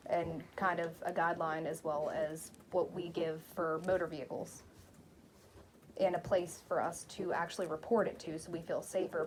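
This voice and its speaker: A woman with a slightly low voice speaks earnestly and firmly, laying out her concerns step by step. Other people chatter audibly around her. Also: low-quality recording. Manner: earnest, measured, makes her point firmly. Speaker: local resident, parent. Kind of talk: casual group discussion.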